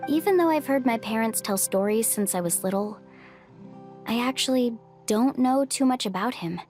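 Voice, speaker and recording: A woman plays an outgoing young female guide in a video game. Her voice is high and lively, with an upbeat, encouraging manner, over soft music. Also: moderate pace, lightly acted. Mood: reflective, admiring.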